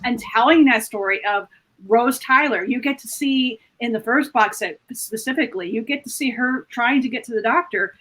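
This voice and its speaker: A woman's voice: fairly high pitch, normal speed, a bit bright, low-quality recording. A woman speaking as a panelist, casually explaining and giving recommendations to fellow fans.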